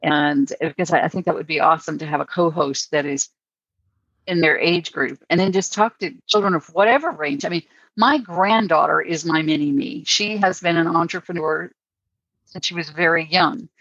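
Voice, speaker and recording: Low-voiced older woman podcast host. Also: normal speed, fairly bright tone, no room sound. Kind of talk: casual podcast chat. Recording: dull dry lo-fi audio.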